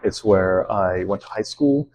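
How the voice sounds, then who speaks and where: Man, low voice, relaxed and friendly, lots of ums, casual interview talk. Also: measured pace, a little hiss or hum. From Lebanon. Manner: relaxed, friendly, many fillers. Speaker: math teacher.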